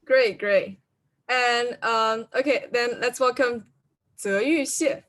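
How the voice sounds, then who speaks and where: A woman's voice: a little high, slow pace, a bit bright. A woman moderating an online video call, hesitant and full of fillers as she introduces speakers. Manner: hesitant, many fillers, unsure of name pronunciation. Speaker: conference moderator.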